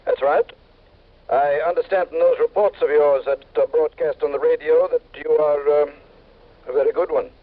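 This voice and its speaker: An actor playing a formal, polite insurance company official over a telephone, in old radio drama style. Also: male voice, a little high, fairly even intonation, moderate pace. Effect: telephone filter. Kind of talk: 1950s-60s radio acting. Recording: tinny phone line, old radio.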